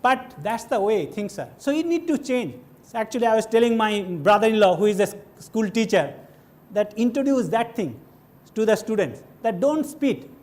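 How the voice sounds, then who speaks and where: A man's voice: high-pitched, animated, normal speed, darkish tone. A middle-aged man from West Bengal with a Bengali-tinged Indian English accent, giving a conversational lecture on a hall stage and asking the audience questions as he goes. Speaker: guest lecturer. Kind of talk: classroom lecture.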